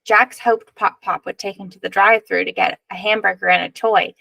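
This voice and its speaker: Female picture book narrator: expressive, measured read-aloud, some vocal fry, dry recording. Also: medium pitch, no room sound, low-quality recording.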